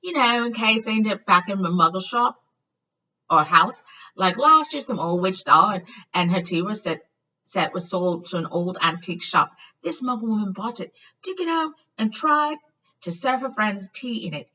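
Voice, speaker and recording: A woman voicing a chatty, excitable young wizard boy, a loyal friend telling things eagerly, for a storybook reading. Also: mid-range voice, normal speed, voice pushed up. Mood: excited. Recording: dull lo-fi, far from the mic.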